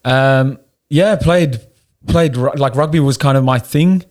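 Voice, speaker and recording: A man's voice: medium pitch, measured pace. A young South African man with a South African accent, a podcast guest talking candidly, swearing now and then and often restarting his sentences. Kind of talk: casual chat.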